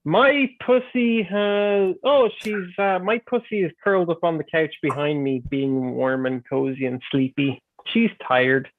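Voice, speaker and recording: A man's voice: on the high side, unhurried, few pauses, dry recording. A man from Ireland with an Irish accent, chatting casually on a live stream, laughing often and stumbling over his words. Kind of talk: casual chat. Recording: dry tinny phone-like line.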